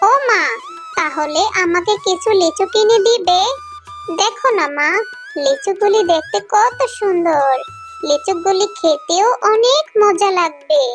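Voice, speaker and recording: A woman's voice: very high voice, expressive, soft background music. A woman voicing a sweet, insistent little fox girl in a cartoon, wheedling and drawing out her pleas. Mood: wistful, coaxing. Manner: wheedling, drawn-out pleading. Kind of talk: Bengali cartoon voice acting.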